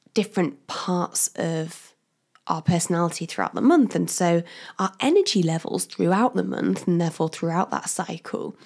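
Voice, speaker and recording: A woman hosting her own podcast as a career coach, talking in a chatty, upbeat way straight to the listener and laughing often, her voice still slightly croaky from a cold. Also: lowish voice, darkish tone. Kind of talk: casual solo podcast monologue.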